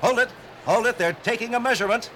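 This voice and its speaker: A man doing a booming TV sportscaster. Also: pushed and bright, a little hiss or hum. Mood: excited. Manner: brash, booming, rapid play-by-play. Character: excitable male TV sportscaster. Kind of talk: mock sports commentary.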